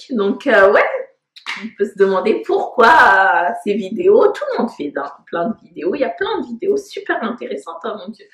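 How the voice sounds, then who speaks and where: A woman in her thirties, originally from Congo, talking in a casual personal vlog, reflective and hesitant with many fillers. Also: fairly high pitch. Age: 30s. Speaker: vlogger. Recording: echoey room.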